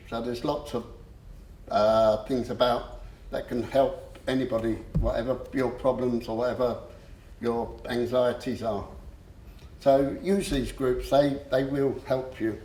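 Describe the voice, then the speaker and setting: A man with a stammer gives a personal talk in a slow, unhurried way. He pauses often and fills the gaps with ums. His voice rings around an echoey hall, at a middle pitch. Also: darkish tone. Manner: slow, halting, with frequent pauses and fillers. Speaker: speaker giving a talk. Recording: echoey room.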